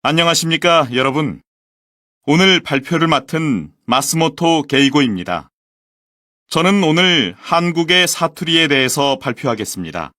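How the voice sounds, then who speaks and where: A man with a somewhat high voice reads a scripted textbook presentation in a clear, measured and formal way. The recording is close and dry, with no room sound. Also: lively intonation, bright, forceful tone, no echo. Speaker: textbook audio voice actor. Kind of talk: scripted language-lesson reading.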